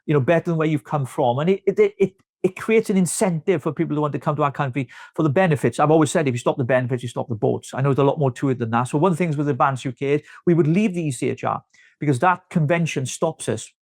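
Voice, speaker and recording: A man's voice: a little high, quick pace, no echo, clean studio sound. A man with a Welsh accent, speaking emphatically as a party representative in a political interview. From Wales.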